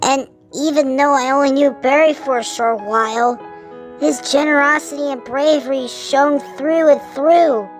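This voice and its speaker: A woman playing a kindly, caring steam engine in a children's story, speaking earnestly and haltingly with emotion. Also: high voice, steady tone. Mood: grieving. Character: kindly female tank-engine character, grieving, earnest and caring. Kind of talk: children's story audio drama. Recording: music behind the voice.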